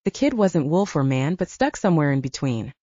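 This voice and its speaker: Female audiobook narrator, lowish and mellow, animated delivery of dramatic fiction, slightly muffled dry recording. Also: fairly quick, dry recording.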